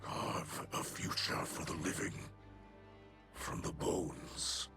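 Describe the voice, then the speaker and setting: A man voicing an ancient alien lord in a game, speaking in a hushed, whispery, formal and beseeching way. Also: slow pace, music in the background, close to an everyday voice. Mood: grave. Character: ancient male Eliksni kell, grave and pleading. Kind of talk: video game cutscene voice acting. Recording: game soundtrack behind voice.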